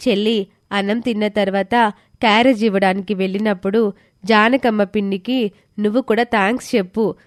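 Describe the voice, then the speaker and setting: A woman's voice: mid-range voice, fairly even intonation, moderate pace, dry recording, studio quality, lightly acted. A woman voicing a polite, earnest, reserved village girl in a scripted moral story.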